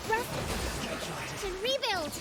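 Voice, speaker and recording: A spirited young girl fighter for a video game. The voice is piercingly high and lively, with drawn-out, exclamatory delivery. Loud game music plays over it. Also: exaggerated voice. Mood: fierce, rousing. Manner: exclamatory battle shouts and cheers. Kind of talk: video game character acting.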